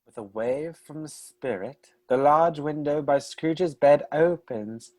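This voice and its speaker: A man's voice: fairly high pitch, slow pace, darkish tone, no room sound. A man narrating a radio play, with indignant, exasperated asides.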